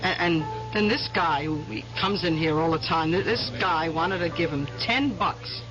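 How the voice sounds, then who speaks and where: A woman's voice: fairly low pitch, normal speed, lightly acted. An actress playing a chatty waitress in a 1970s radio drama who rambles and chuckles as she gossips. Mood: gossipy. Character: chatty gossiping middle-aged woman waitress. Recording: dull 1970s radio broadcast, music behind.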